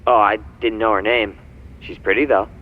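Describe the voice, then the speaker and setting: A man's voice: fairly low pitch, steady tone, normal speed. A man playing a casual, slightly nervous young informant in a video game cutscene, heard through a phone, chatty and hesitant. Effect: phone call filter. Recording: dull phone call over faint music.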